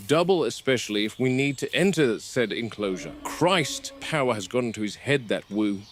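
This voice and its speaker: A man's voice: lowish voice, lightly acted. A man playing an irate, seasoned dinosaur park game warden in a found-footage horror drama, blunt and exacting. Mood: indignant. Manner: blunt, indignant, exacting field-report delivery. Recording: noisy with ambient background sound.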